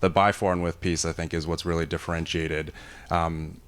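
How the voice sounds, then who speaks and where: A man with a very low, resonant voice speaks at a conference luncheon, holding a microphone. He has a naturally loud, energetic delivery from years of teaching and summer camp work. His talk flows casually, with fillers and restarts. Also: moderate pace, smooth. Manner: energetic, self-described loud voice. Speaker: panel speaker. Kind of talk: conversational panel talk. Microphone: handheld microphone.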